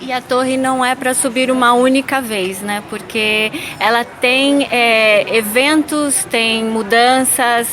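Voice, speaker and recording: A woman with a Brazilian accent hosting an interview, asking questions in a brisk, chatty way. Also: fairly high pitch. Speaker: podcast host. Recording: noisy background ambience.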